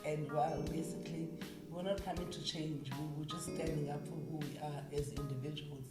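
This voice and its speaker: A woman's voice: low voice, steady tone, fairly quick. A middle-aged woman from Cape Town with a South African accent, chatting as an interview guest and slipping into Xhosa phrases. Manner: mixes in Xhosa phrases, laughs often. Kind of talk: casual interview chat. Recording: echoey room with loud music bed.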